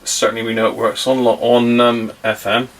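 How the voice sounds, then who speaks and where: A man's voice: mid-range voice, flat delivery, normal speed, fairly bright tone. A man giving a casual hands-on demonstration, thinking aloud with some hesitation. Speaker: vlogger testing old radios. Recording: very noisy with radio static and clicks.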